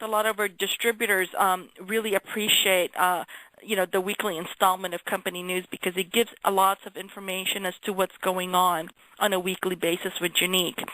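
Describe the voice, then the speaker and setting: Bright, crisp-edged woman giving a briefing. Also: mid-range voice, no echo, low-quality recording. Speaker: company representative. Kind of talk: informational question-and-answer briefing.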